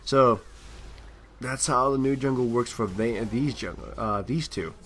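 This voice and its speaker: A man's voice: medium pitch, measured pace, fairly bright tone. A man doing casual, unscripted game commentary, thinking aloud in a rambling way and often trailing off. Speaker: gameplay commentator.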